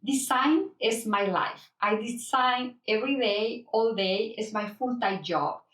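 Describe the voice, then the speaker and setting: A woman's voice: fairly high pitch, measured pace, fairly bright tone. Lady showing her knitwear, simple english, bit far from the mic. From El Salvador. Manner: non-native phrasing, simple direct sentences. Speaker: knitwear designer guest. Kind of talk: casual spoken presentation. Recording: roomy, from a distance.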